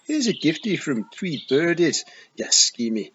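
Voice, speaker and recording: A man voicing a tiny, fierce little man, shouting rowdily. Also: very high-pitched, takes it slowly, gravelly, voice pushed up, full character voice. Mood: rowdy shouting. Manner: shouting, rowdy. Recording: muffled with steady hiss.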